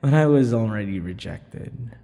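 A man playing a brooding, unhinged male vampire in an intimate ASMR roleplay, breathy and halting, often dropping to a whisper. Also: lowish voice, lively intonation, a little hiss or hum. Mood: bitter, brooding. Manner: slow, halting, dramatic pauses and dark chuckles. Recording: long reverberant echo.

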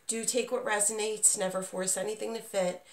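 Creaky-voiced woman recovering from sickness, roomy sound. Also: mid-range voice, level tone, fairly quick, noisy background. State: getting over being sick, voice not at full strength. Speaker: tarot reader, channel host. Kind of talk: casual spoken tarot reading. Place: indoors, small dim room at a table. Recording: roomy echo, distant microphone.

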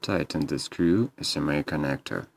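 A man's voice: extremely low pitch, normal speed, dry recording. A man narrating a scripted how-to as a repair technician, in terse step-by-step instructions.

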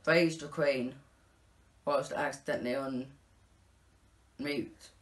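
A man's voice: mid-range voice, steady tone, slow pace, fairly bright tone. A young man reading a scripted rhyming pantomime story aloud as its narrator.

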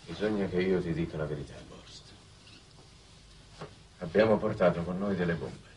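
An actor playing an apologetic, uneasy envoy from Earth in a 1960s television drama, speaking formally and earnestly. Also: male voice, deep voice, normal speed, a little mellow, close to an everyday voice. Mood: apologetic, grim. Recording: slightly distant, noisy old TV soundtrack.

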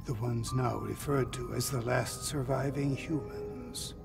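Slow, gravelly old android sage. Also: male voice, medium pitch, steady tone, far from the mic, music in the background. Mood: solemn, cryptic. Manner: slow, grave, cryptic, formal. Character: solemn old android leader revealing ancient truths. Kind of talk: cinematic video game dialogue.

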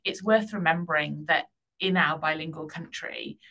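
A woman's voice: deep voice, normal speed, sharp tone. A woman board member speaking reflectively in a large boardroom, hesitant in her rusty Welsh. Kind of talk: formal meeting remarks.

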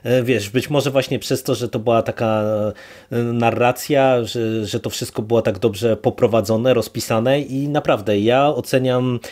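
A man with a medium-pitched voice talks as a podcast co-host. He reviews casually and hesitantly, working out his opinion as he speaks. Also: steady tone, measured pace, darkish tone.

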